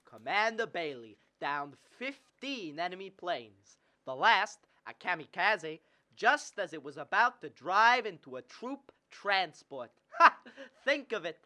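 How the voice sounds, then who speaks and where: A young man playing an earnest, excitable, self-doubting small-town husband with halting speech in a student radio drama. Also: high voice, no echo, heavily acted. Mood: proud, excited. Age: young adult. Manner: halting, stammering.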